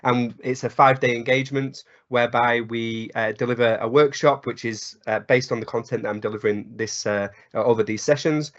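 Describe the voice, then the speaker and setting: A man with a croaky voice from a cold walks through a technical webinar at a mid pitch. He talks in loose spoken phrasing, full of ums and uhs. The call audio sounds slightly dull. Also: steady tone, a little fast, few pauses, a bit bright. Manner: many ums and uhs, restarts. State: hoarse from a cold. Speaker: presenter. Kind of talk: webinar presentation.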